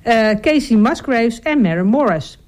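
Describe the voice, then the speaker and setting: A woman radio host presenting casually, talking in a halting way and leaning on filler phrases. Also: on the high side, expressive, darkish tone.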